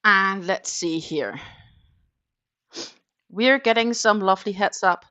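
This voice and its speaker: A woman's voice: medium pitch, slow, bright, forceful tone, muffled recording. A Danish-born woman hosting a casual morning crochet livestream in English, chatting in a rambling way with lots of fillers. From Denmark.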